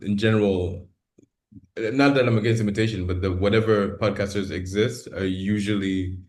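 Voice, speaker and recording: Deep-voiced male interviewer, informal and halting. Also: steady tone, darkish tone. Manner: self-deprecating, halting. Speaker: interviewer, podcast host. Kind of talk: informal, unpolished interview chat.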